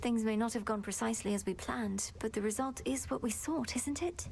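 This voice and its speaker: A woman playing an earnest young underworld princess in a video game, speaking formally and with measured courtesy. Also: fairly high pitch, creaky, a little mellow, slight background noise. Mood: earnest, respectful. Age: young adult.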